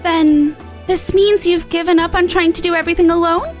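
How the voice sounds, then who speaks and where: A woman voicing a gentle young female friend in a fantasy game, sweet and caring with a lightly teasing, expressive manner. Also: very high voice, normal speed, faint music behind, voice pushed up. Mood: gentle concern. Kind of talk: fantasy game character read-aloud.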